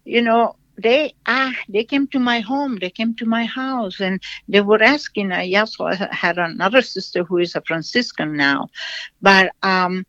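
A woman from Brazil with a Brazilian accent, a nun answering interview questions with stories, in a halting way that repeats phrases. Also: mid-range voice, a bit bright, no echo. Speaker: nun, shrine representative. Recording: dull lo-fi sound, dry.

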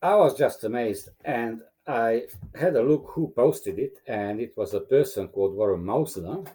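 A man's voice: medium pitch, expressive, normal speed, dark tone. A middle-aged man from Romania, a native Hungarian speaker, speaking as a guest in a casual interview, thoughtful and hesitant with fillers.

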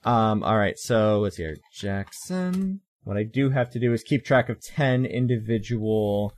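A man's voice: on the low side, lively intonation, a little slow, darkish tone. A man in his late twenties casually talking as game master and host of a tabletop game, with a wry, bantering manner.

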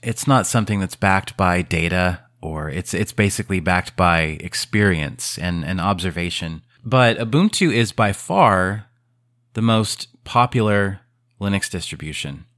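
A man's voice: deep voice, measured pace, a bit bright, no room sound, clean studio sound. A man hosting a podcast in a casual, thoughtful and sincere way. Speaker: podcast host.

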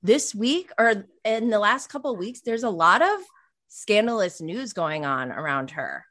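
A woman's voice: mid-range voice, very animated, unhurried, fairly bright tone. A woman of about forty co-hosting a casual reality TV recap, gossipy and prone to rambling tangents. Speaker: podcast co-host.

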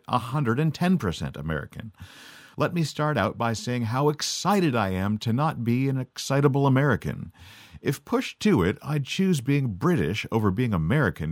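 A man's voice: medium pitch, no room sound. A middle-aged man from Canada delivering a sardonic, scripted monologue as podcast host. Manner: sardonic, dry.

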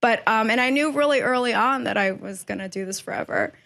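A woman in her thirties, born in China and raised in New York, chatting as a painter guest on a podcast, animated, laughing often and restarting her sentences. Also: on the high side, fairly quick, vocal fry. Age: 30s. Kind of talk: casual interview chat. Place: painting studio indoors.

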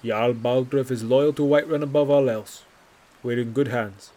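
A man's voice: medium pitch, fairly even intonation, normal speed, a little mellow, lightly acted. Man doing a stiff, formal guardsman. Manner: stiff, rehearsed, diplomatic. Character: formal, bored male city guardsman.

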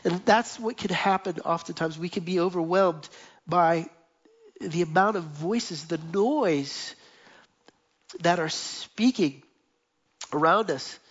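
A man's voice: high voice, slow, pauses often, fairly bright tone. An earnest man preaching to a church gathering, stacking up lists and repeating phrases as he drives each point home. The sound is a little dull and lo-fi, as from a live stream. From United States. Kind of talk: sermon.

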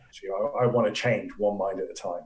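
A man's voice: lowish voice, fairly even intonation, quick pace, a little mellow, reverberant, rough recording. A man with an English accent, an astrophysicist guest chatting eagerly in a live Q&A and laughing often. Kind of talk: casual live Q&A chat.

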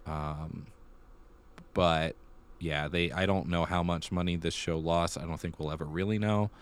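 A man's voice: low-pitched, steady tone, measured pace, clear, resonant tone. A man hosting a podcast, talking casually through theater news and thinking aloud as he goes. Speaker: podcast host.

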